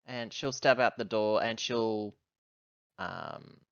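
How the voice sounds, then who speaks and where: A man's voice: fairly low pitch, slow, gravelly, fairly bright tone, clean tone, dry recording, highs cut off. A man casually narrating his character's actions as a player in a tabletop game.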